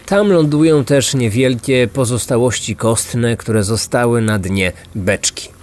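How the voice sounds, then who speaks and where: A man's voice: medium pitch, a little slow, darkish tone. A man hosting a true-crime podcast, reading a scripted narration with music behind him. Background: background music.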